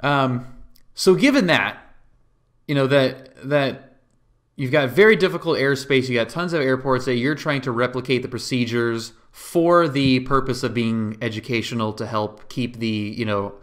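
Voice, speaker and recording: A man's voice: medium pitch, normal speed. A man hosting a podcast in a casual conversational interview style, often rephrasing his questions mid-sentence. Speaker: podcast host.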